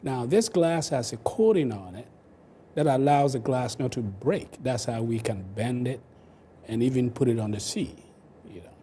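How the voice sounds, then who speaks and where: A man's voice: medium pitch, normal speed, dark tone. An older man with a Ghanaian accent, explaining his work as an interview guest. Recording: clear background noise.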